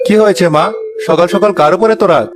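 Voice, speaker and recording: A man's voice: high-pitched, fast, lightly acted. A man voicing an easygoing adult son in a comedy cartoon, with a light manner. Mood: puzzled. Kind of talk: animated comedy story acting. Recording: music behind the voice. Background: music.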